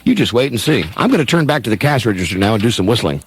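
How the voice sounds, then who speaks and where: A man's voice: on the low side, very animated, rapid-fire, breathy edge, heavily acted. A man playing a twelve-year-old drugstore boy in a comedic radio play. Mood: cocky, boastful.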